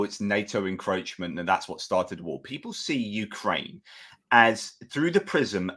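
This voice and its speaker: A middle-aged man hosting an online interview from a book-lined home study, laying out an argument at length with asides. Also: lowish voice. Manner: discursive, argues a point at length with asides. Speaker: podcast host. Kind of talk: interview chat, thinking aloud.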